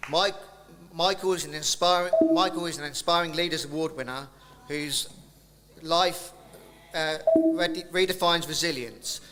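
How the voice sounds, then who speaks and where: A man's voice: fairly high pitch, a little slow, music in the background. A man presenting at a live awards ceremony, heaping effusive praise on the winners. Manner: effusive praise, occasional restarts. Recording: reverberant hall, faint ambience.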